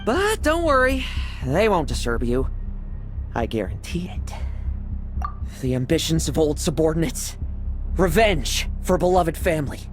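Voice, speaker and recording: A man's voice: a little high, sing-song, unhurried, pauses often. An actor playing a cocky young knight vice captain in a video game, wry and theatrical in his delivery. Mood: assured. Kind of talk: dramatic video game voice acting. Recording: loud game music underneath.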